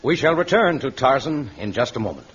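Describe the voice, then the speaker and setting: A man's voice: mid-range voice, big pitch swings, fairly quick. A man narrating an old radio adventure serial as its announcer, in a dramatic scripted style. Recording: muffled vintage radio recording with music. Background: dramatic music.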